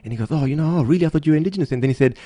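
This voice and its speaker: Male interview guest. Quick, emphatic anecdotal delivery with repeated phrases, very dry sound. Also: a little high, mellow, dry recording. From Australia. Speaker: podcast guest, motivational speaker. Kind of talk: conversational interview, personal anecdotes.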